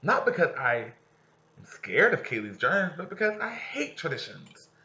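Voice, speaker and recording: A man's voice: a little high, very animated, normal speed, bright, forceful tone, lo-fi sound. A man who teaches, reading a novel aloud to his students and stopping to explain passages and ask them questions.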